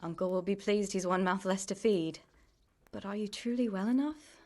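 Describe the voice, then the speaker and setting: An actress plays a young medieval village woman, a miller's niece in a game drama. She is caring but world-weary, with a plain-spoken, gently chiding manner. Her pitch sits in the middle for a woman. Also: moderate pace, lightly acted. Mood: brisk, wry. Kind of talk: dramatic video game dialogue.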